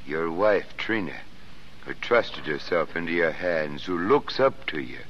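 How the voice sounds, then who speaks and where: A man's voice: low-pitched, expressive, unhurried, fairly bright tone. An actor playing a stern, upright older American watchman in an old-time radio drama. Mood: grave, reproachful. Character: stern, upright older Bible-reading watchman, secretly soft-hearted. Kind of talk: 1930s-40s American radio drama acting. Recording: muffled, noisy vintage radio recording.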